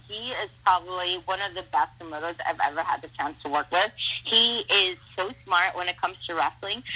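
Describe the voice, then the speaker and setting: Bright-voiced, husky young woman wrestler. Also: on the low side, low-quality recording. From Mexico. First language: Spanish. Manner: upbeat, gushing, heavy use of "like" and "awesome". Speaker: professional wrestler. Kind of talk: casual interview.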